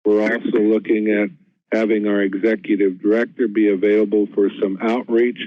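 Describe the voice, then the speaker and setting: A man giving measured, informational remarks as a panelist on a webinar. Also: fairly low pitch, flat delivery, dry recording. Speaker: panel speaker for an organization. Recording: dull, band-limited webinar audio.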